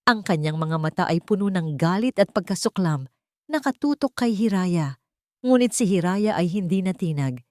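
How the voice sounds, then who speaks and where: A woman narrates an audio drama as scripted, dramatic storytelling. Her voice sits a little low but moves widely in pitch. The sound is close and dry. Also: darkish tone, no room sound.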